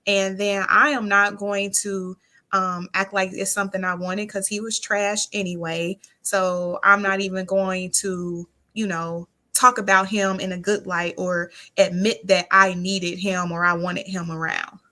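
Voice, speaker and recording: A woman's voice: mid-range voice, level tone, unhurried, bright, forceful tone. An American woman talking candidly and casually as a guest, with an earnest, plain-spoken manner. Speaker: interview guest.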